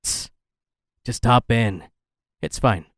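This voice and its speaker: A man's voice: medium pitch, extremely slow. A man playing a curt, cool, wealthy young man in an audio drama.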